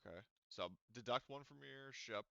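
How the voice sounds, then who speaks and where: A man's voice: medium pitch, moderate pace, creaky, fairly bright tone, smooth, dry recording, highs cut off. A man narrating as game master for a tabletop roleplaying game, explaining at length with many ums and restarts. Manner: hesitant, filler-heavy explaining.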